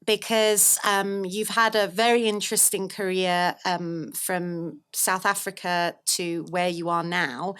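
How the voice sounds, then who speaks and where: A woman's voice: fairly low pitch, fairly even intonation, takes it slowly, hardly any pauses, fairly bright tone, clean tone. A woman hosting an interview, asking long enthusiastic questions full of fillers. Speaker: podcast host. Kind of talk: casual interview conversation.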